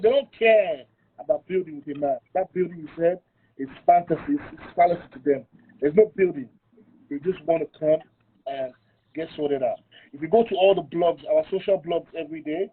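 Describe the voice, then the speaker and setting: A man's voice: a little high, slightly rough. A man debating on a podcast. He talks emphatically, repeats his points and keeps asking rhetorical questions. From London. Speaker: panel guest. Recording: tinny phone-line audio.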